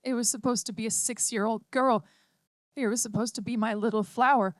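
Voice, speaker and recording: Woman reading a desperate mother, strained, sobbing edge, higher and louder, dry sound. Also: steady tone, a little fast, dry recording, natural voice. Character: grieving mother, desperate and protective.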